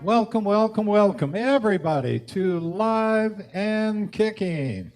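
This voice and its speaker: A man's voice: high voice, animated, takes it slowly. A man casually hosting a live music show, introducing it and the acts. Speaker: host and musician. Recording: dull sound with music behind.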